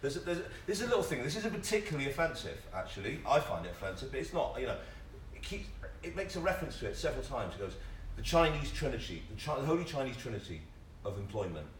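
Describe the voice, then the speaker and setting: A man's voice: medium pitch, very animated. A man on a discussion panel speaking informally and emphatically, repeating words as he argues his opinion. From family from Singapore. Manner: emphatic, halting repetitions, exasperated opinion. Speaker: panellist, actor. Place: panel discussion in a theatre venue, microphone at a distance. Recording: distant roomy live-stream audio, faint noise.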